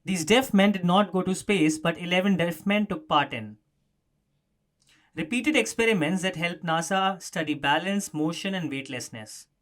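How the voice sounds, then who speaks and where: A man's voice: sits high, moderate pace, a bit bright. A man presenting a scripted explanatory news story as a narrator.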